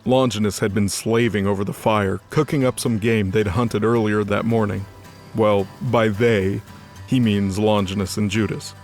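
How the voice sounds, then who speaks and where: A man's voice: fairly low pitch, fairly even intonation, moderate pace, a little mellow, soft background music. A man narrating a scripted story in a conversational, wry way.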